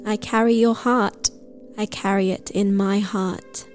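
A woman reading a poem aloud in a slow, measured way, with long pauses between phrases. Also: mid-range voice, little pitch movement. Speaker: poetry reader. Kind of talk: slow, measured poetry recitation. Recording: music laid prominently under the voice. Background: prominent music.

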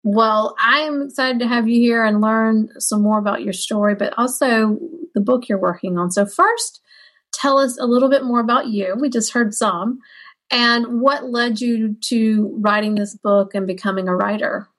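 A woman's voice: a little high, moderate pace, a bit bright, poor audio. A woman co-hosting a writing podcast, introducing a guest and interviewing her with enthusiasm. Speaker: podcast co-host. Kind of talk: warm scripted intro then interview chat.

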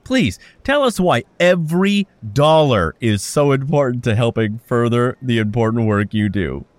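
A man's voice: medium pitch, animated, normal speed, no echo, close to an everyday voice. An actor playing a flustered male telethon host who stammers through forced cheer.

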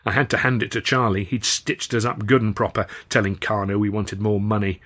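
A man's voice: on the low side, flat delivery, a little fast, a bit bright. A man narrating his own novel as a scripted audiobook. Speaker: author reading own novel.

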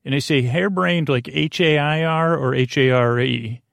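Rambling, creaky-voiced man hosting a bedtime podcast. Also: a little high, a bit bright, dry recording. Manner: meandering, halting, with trailing tangents; soothing, reassuring. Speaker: podcast host. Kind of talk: rambling bedtime story monologue.

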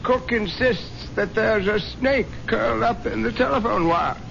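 A man's voice: high voice, a little slow, gravelly, fairly bright tone, heavily acted. An actor playing a timid, deferential male servant in a radio drama, speaking with formal, hesitant politeness. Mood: timidly deferential. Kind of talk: 1970s radio drama acting. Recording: dull lo-fi radio recording, faint noise.

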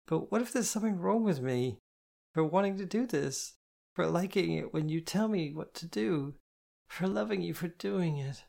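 Woman narrator doing drunk young woman, crying, slurred, slow, higher voice, a little gravelly. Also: expressive, dark tone. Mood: tearful.

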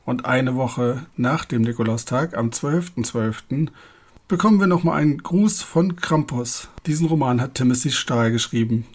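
A man's voice: medium pitch, moderate pace. A man hosting a podcast, asking questions and making announcements. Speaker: podcast host. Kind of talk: podcast interviewing and scripted announcements.

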